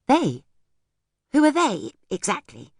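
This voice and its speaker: A woman's voice: high voice, huge pitch swings, slow pace, husky, no room sound, studio quality, voice pushed up, full character voice. A woman voicing an eager, impatient young girl in an audiobook, pleading and insistent. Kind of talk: audiobook character voice.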